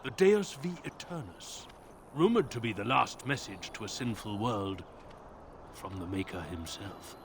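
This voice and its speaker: An actor playing a grandiose, flowery-spoken travelling trader with hidden menace in a fantasy video game. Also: male voice, on the low side, animated, measured pace, noisy background. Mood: grandiose, sly. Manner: grandiose, theatrical, archaic phrasing.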